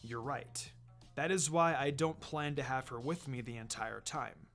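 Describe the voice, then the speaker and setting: A man voicing a calm, weary, commanding ninja teacher in a measured, matter-of-fact way, for a story reading. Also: medium pitch, faint music behind, voice pushed down.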